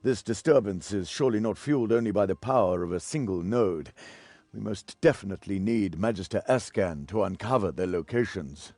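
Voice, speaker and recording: A man's voice: on the low side, fairly quick, warm tone, close to an everyday voice. A man playing a grave, formal and worried magical scholar in a fantasy video game. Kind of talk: dramatic video game voice acting.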